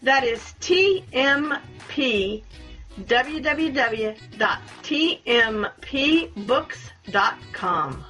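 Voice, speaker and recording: Slow-paced woman radio host with music behind. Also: high-pitched, animated, bright, forceful tone, low-quality recording. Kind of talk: friendly interview sign-off and scripted sponsor reads.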